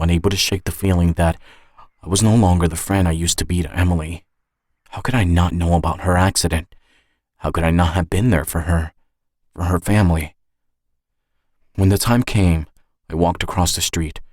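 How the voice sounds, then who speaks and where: A man's voice: low-pitched, steady tone, moderate pace, long pauses, darkish tone, no echo, clean studio sound. A man reading a first-person suspense story as a scripted narration. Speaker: writer and narrator of an audio story.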